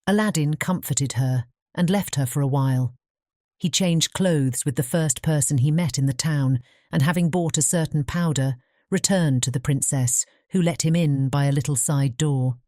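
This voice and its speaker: A woman reads storybook narration in a notably low register. Her tone is mellow and rounded, suited to a scripted fairy-tale audiobook. The recording is close, with no audible room. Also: moderate pace, no echo.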